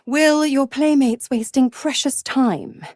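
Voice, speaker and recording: A woman's voice: high voice, slow, a little mellow, no room sound, clean studio sound. An actress voicing a seductive, cruel and smug female devil in a video game, with a teasing, condescending, theatrical drawl. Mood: mocking, threatening. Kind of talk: video game character voice acting.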